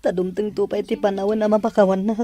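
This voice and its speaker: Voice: medium pitch, level tone, quick pace, dark tone, close to an everyday voice. A performer playing a remorseful, pleading young male prisoner in an audio drama, with an Ilocano accent.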